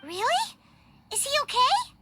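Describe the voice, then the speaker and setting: Tiny fairy sidekick: very high, wildly swooping childlike voice, chatty and earnest, game music behind. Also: female voice, huge pitch swings, measured pace, heavy background noise, heavily acted. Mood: puzzled. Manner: speaks of self in third person. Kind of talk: video game character dialogue.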